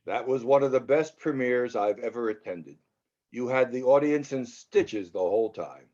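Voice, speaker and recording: A man playing a flattering, coaxing film producer in a drama table read, on a dull recording. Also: mid-range voice, normal speed, lightly acted. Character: smooth, flattering male film producer with menacing intent. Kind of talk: screenplay table read acting.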